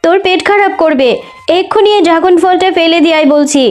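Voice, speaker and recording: A woman's voice: extremely high pitch, fast. A woman voicing a protective animal mother in a children's cartoon story, emphatic and motherly. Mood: scolding.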